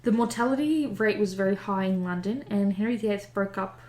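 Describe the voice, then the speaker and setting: A young woman works through history notes for a casual podcast. She talks in a relaxed, conversational way, stumbles over old-fashioned phrasing and mocks her own mistakes. Her voice sits at an ordinary middle pitch. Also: a little fast, roomy sound, a little hiss or hum. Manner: chatty, stumbles over old wording, laughs and swears at herself. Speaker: podcast co-host.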